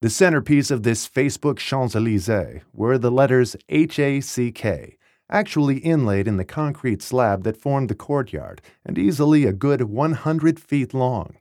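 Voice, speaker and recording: A man's voice: mid-range voice, expressive, moderate pace, darkish tone, dry recording. A man reading a first-person memoir as scripted audiobook narration, articulate and wry, recorded close in a dry studio.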